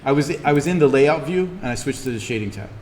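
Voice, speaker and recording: A man giving an informal in-class software tutorial as the instructor, thinking aloud haltingly as he demonstrates. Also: medium pitch, lively intonation. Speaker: college instructor. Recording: reverberant room, clearly noisy background.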